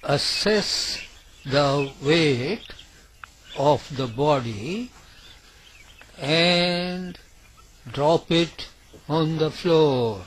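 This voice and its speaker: A man leading a guided meditation, speaking in short, deliberate phrases separated by long pauses. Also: on the high side, a little hiss or hum. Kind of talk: slow guided yoga nidra meditation narration.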